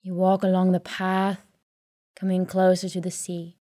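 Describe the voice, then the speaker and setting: Slow, mellow woman guiding meditation. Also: on the low side, no echo, clean studio sound. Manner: calm, soothing, gentle delivery with long pauses. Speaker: meditation guide. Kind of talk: guided sleep meditation, soft scripted narration.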